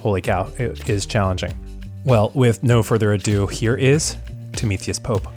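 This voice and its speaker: A man's voice: fairly low pitch, moderate pace, over music. A man hosting an interview podcast, reading an earnest introduction and then chatting with his guest. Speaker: podcast host.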